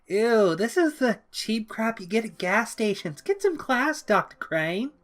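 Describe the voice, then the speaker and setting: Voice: mid-range voice, very animated, a little slow, pitched above the performer's own voice. A narrator voicing a bubbly, mischievous, childlike young villainess who exclaims, teases and pouts playfully in an audiobook-style story. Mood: sassy. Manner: exclaiming, teasing, playfully pouty.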